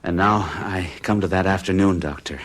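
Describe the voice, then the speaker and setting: A man's voice: low-pitched, moderate pace. An actor playing a troubled young man recounting an unsettling romance to his psychiatrist in an earnest, hesitant way. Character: troubled young American man, hero in love and afraid. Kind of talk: 1970s radio drama acting.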